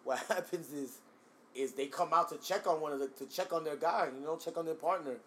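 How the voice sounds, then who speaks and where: A man co-hosting a casual wrestling chat, telling scenarios in an animated, slangy, profane way. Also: on the high side, fairly quick, slight background noise. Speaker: podcast co-host.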